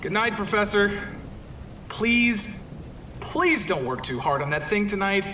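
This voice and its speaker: A man's voice: sits high, expressive, a little slow, pushed and bright, a little hiss or hum, exaggerated voice. A man playing an anxious, deferential assistant to a professor in an audio horror drama, hesitant and earnest. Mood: sheepish, concerned. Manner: hesitant, earnest, repeats himself.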